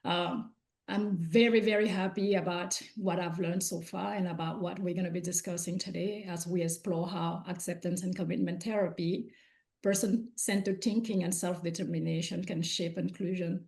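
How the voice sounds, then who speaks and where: A woman's voice: lowish voice, steady tone, moderate pace, creaky, a little mellow. A woman from Cameroon whose first language is French, giving an earnest talk as a guest speaker at a conference, with frequent "uh" fillers.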